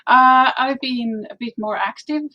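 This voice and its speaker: A woman's voice: high-pitched, fairly even intonation, a little slow, dull audio. A woman from Finland with a Finnish accent, chatting casually on a livestream with frequent "uh" fillers. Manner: hesitant, frequent "uh" fillers, light laughs. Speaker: livestream co-host.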